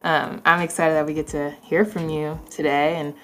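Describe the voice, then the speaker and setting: A woman hosting a casual interview, talking informally and haltingly with many conversational asides. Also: sits low, little pitch movement, a little hiss or hum. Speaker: interview host.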